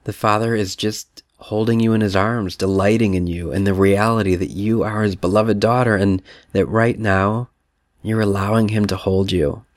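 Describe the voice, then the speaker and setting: A man's voice: fairly low pitch, fairly even intonation, moderate pace, no echo, studio quality. A man leading a guided prayer meditation, speaking soothingly to the listener in the second person.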